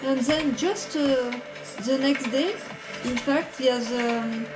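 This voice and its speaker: A woman with a slightly high voice and a co-hosts an online radio broadcast. She pieces her story together slowly, with frequent fillers and restarts. The room is echoey and music plays behind her. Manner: halting, many ums and restarts. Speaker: radio show co-host. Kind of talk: casual spoken recollection.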